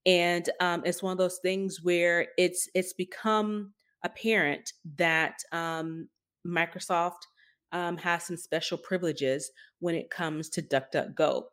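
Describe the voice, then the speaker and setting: A woman's voice: lowish voice, steady tone, takes it slowly, fairly bright tone, smooth. A woman co-hosting a podcast, talking through news in a casual, informal and wry way. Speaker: podcast co-host. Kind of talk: casual tech news discussion.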